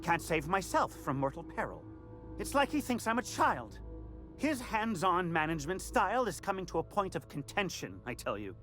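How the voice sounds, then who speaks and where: An actor playing a put-upon, peevish junior lab scientist in a video game, fussy and exasperated. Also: male voice, high-pitched, big pitch swings, normal speed, pushed and bright, faint music behind, full character voice. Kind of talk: video game character acting.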